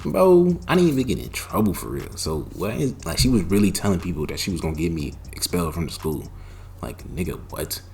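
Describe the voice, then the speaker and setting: A young man casually telling a personal story, animated and profane, with loud bursts and lots of slang. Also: low-pitched, brisk, creaky, a little hiss or hum. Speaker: storytime vlogger.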